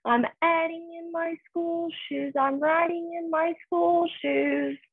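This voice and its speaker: A young woman reads a children's story aloud. Her voice sits high and she takes her time, with a sing-song lilt made for little listeners. The sound is thin and narrow, much like a phone. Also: steady tone, takes it slowly, creaky. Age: young adult. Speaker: story reader. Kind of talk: children's picture book read-aloud.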